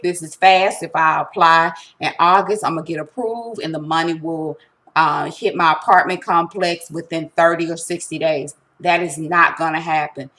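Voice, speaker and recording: A woman's voice: low-pitched, fairly bright tone. A woman presenting an informal step-by-step tutorial, instructive and emphatic, often checking that listeners follow. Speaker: resource center presenter giving a tutorial.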